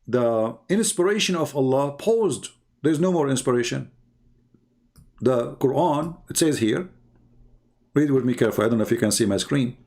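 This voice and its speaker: A man's voice: medium pitch, a little mellow. A man speaks English as an in a live debate. He lectures insistently, like a teacher pressing a point, and keeps putting rhetorical questions to his listener. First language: non-English. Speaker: religious debater on a live call. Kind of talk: polemical live lecture to a caller.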